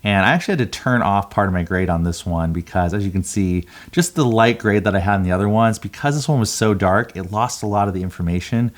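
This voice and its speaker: A man with a notably low voice hosts a casual creator vlog. He explains things in a conversational, walkthrough manner, as if guiding viewers through his process. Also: fast talker, hardly any pauses. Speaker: video creator and channel host.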